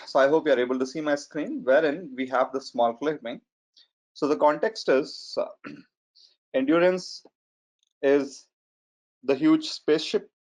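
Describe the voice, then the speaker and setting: A man in his forties with a strong Indian accent, giving an online lecture in a measured, hesitant way with fillers. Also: fairly high pitch, darkish tone. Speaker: data science trainer. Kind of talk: webinar lecture. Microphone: computer mic on a video call. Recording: slightly muffled online webinar audio.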